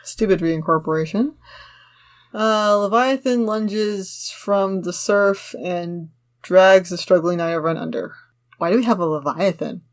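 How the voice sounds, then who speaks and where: A woman's voice: medium pitch, unhurried. A woman co-hosting a casual discussion, reading a script aloud and commenting on it in an opinionated, argumentative way. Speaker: podcast co-host.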